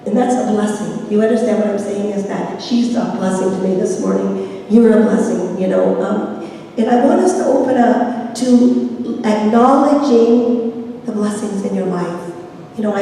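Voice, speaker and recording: Woman minister, slight rasp, informal folksy sermon, rambling delivery, distant echoey recording, faint noise. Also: mid-range voice, mellow. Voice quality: slightly raspy. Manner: rambling, folksy, seeks audience responses. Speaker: minister leading a Sunday service. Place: large reverberant room at a service.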